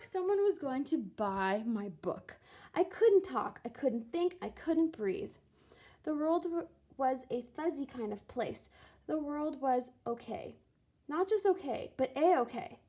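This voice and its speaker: High-voiced woman reading a storybook aloud. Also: big pitch swings, measured pace, warm tone. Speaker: teacher reading a picture book aloud. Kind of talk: expressive storybook read-aloud.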